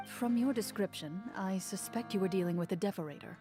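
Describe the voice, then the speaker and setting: A woman voicing a calm, composed young female duelist in a video game, speaking in a cool, measured and direct way. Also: mid-range voice, faint music behind, close to an everyday voice.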